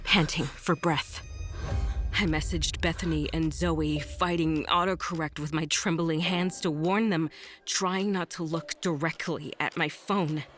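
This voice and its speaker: A woman's voice: low voice, fairly even intonation, measured pace, fairly bright tone, faint music behind. A woman narrating a first-person horror audio drama as a frightened, jittery survivor. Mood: panicked.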